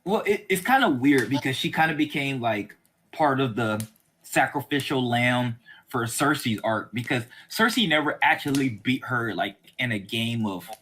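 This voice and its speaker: A man's voice: mid-range voice, expressive, moderate pace, fairly bright tone. A man hosting a casual chat about a TV series, talking in an informal, rambling, conversational way. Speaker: host.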